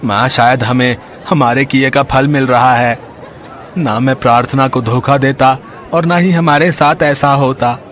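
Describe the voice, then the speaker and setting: A man's voice: medium pitch, fairly even intonation, unhurried, fairly bright tone, a faint crowd, slight background noise, close to an everyday voice. A man voicing a deceitful young city son speaking emphatically to his mother in a story. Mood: regretful, miserable.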